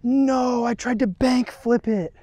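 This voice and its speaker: A young man vlogging while fishing outdoors by a river, talking excitedly to viewers on an action camera. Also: extremely high pitch, slow, husky. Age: young adult. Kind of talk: casual outdoor vlog.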